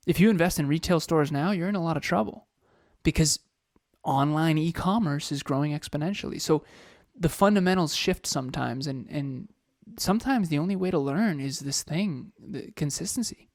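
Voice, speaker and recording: A man's voice: a little high, normal speed, a little mellow, no echo, clean studio sound. A man in his thirties co-hosting a motivational podcast, talking with energy, firing off rhetorical questions and repeating phrases. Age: 30s. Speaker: podcast co-host.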